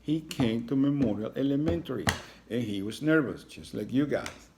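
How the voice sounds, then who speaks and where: A man reading a children's story aloud as a teacher, pausing for reassuring, informal explanations to his young listeners. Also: medium pitch, expressive, warm tone, slight background noise.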